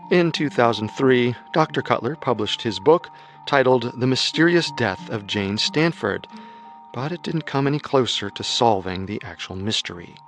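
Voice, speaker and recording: A man narrating a true-crime radio story in a measured, skeptical way over soft music. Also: medium pitch, expressive. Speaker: narrator and co-host.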